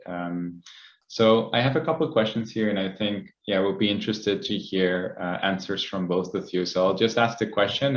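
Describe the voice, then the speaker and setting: Very deep-voiced male moderator, echoey. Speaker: webinar moderator. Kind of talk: informal panel moderation. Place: room, on online video call. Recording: echoey, dull online call audio.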